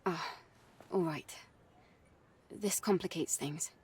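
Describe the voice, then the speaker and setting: Composed game heroine, slightly distant. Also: female voice, fairly low pitch, takes it slowly, a little mellow, slightly rough, exaggerated voice. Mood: serious. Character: composed, earnest young female warrior, calmly reassuring. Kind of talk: video game voice acting. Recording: slightly distant, music underneath. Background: faint music.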